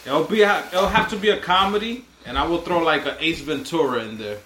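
Man, podcast co-host, from Washington Heights, animated, joking, bright forceful voice, slightly high, echoey room. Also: brisk, slight background noise. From Washington Heights, New York. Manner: animated, joking, laughs often. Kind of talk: casual chat over drinks.

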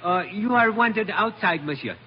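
High-pitched foreign messenger boy. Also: lively intonation. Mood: deferential. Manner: halting, deferential broken English. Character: polite, eager foreign messenger boy at a casino. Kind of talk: 1940s radio adventure acting. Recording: dull, noisy old radio recording.

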